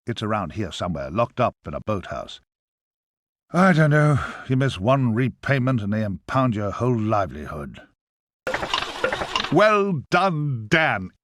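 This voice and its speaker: A man's voice: on the low side, very animated, unhurried, a bit bright. An actor playing a chatty, hapless ferryman to the dead in a video game, with a wry, rambling, grumbling manner. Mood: sardonic. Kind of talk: video game cutscene acting.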